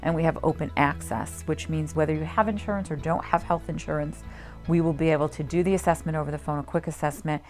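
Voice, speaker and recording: Low-voiced woman, earnest, over music. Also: fairly even intonation, quick pace, darkish tone. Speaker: staff representative of a community health organization. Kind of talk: explanatory promotional interview. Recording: music playing under the voice.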